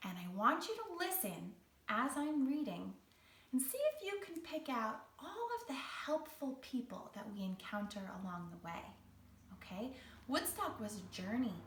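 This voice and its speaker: A woman's voice: on the high side, sing-song, normal speed, slight background noise. A woman speaking as a children's read-along host and teaching artist, in an encouraging, theatrical storytelling manner with carefully phrased lines. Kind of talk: guided exercise and storytelling for young children. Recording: roomy echo, distant from the mic.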